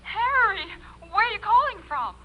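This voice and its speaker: A woman's voice: very high-pitched, sing-song, moderate pace, dull audio. A woman playing an anxious, loving wife coaxing her husband over the telephone in a 1950s radio drama. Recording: thin distant phone sound, faint hum.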